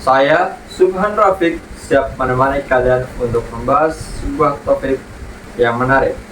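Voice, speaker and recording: A man hosting a podcast, reading clear and informative scripted narration in a welcoming tone. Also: medium pitch, measured pace, fairly bright tone, a little hiss or hum. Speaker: podcast host. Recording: echoey, distant with music bed.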